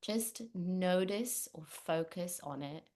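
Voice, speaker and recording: A woman leading a guided meditation, speaking gently and unhurriedly with long pauses. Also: lowish voice. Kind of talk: guided meditation, spoken instruction.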